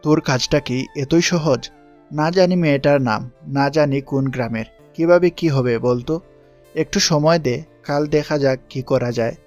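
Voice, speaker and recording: A man's voice: fairly high pitch, normal speed, a little mellow, soft background music, lightly acted. A man playing an easygoing, loyal schoolboy best friend in an audio story. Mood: grumbling.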